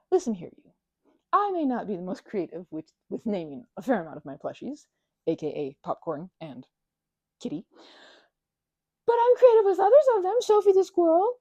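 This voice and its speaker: A woman doing an ASMR best-friend roleplay, chatting in a rambling, scattered way with playful, childlike excitement. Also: very high voice, moderate pace, dry recording, highs cut off. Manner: rambling, scattered, jumping between asides.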